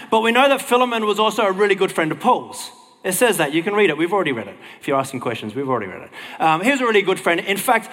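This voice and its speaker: Higher-voiced Australian preacher in a reverberant hall. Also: male voice, a bit bright. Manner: informal, self-deprecating, wry. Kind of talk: casual conversational sermon to a congregation.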